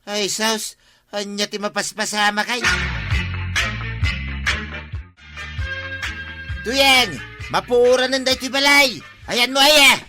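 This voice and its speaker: A man's voice: very high voice, measured pace, over music. A man playing an exasperated villager in a radio comedy drama, complaining and exclaiming with an Ilocano Filipino accent. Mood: alarmed, scolding.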